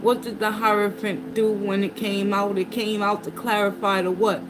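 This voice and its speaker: Woman reading tarot over steady noise. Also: medium pitch, moderate pace. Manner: mixes reading card meanings aloud with casual asides. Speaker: tarot reader. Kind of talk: casual tarot reading to viewers. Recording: noticeable background noise.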